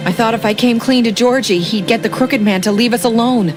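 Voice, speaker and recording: A woman's voice: fairly high pitch, fairly quick, close to an everyday voice. An actress playing an anxious young woman confessing in a game, talking in hesitant, pleading bursts. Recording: game audio with loud music.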